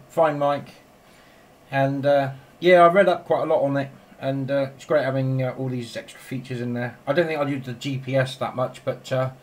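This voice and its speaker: A man chatting casually and enthusiastically over amateur radio in a rambling way. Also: mid-range voice. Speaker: amateur radio operator, video maker. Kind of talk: casual two-way radio chat. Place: home radio shack. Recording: clear background noise in room.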